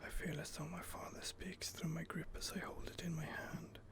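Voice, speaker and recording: A man's voice: fairly high pitch, little pitch movement, normal speed, pitched above the performer's own voice, natural voice. A man whispering the brooding inner monologue of a weary older craftsman who has lost everything. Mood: grieving, vengeful. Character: weary older male craftsman seeking vengeance. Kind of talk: ASMR in-character narration.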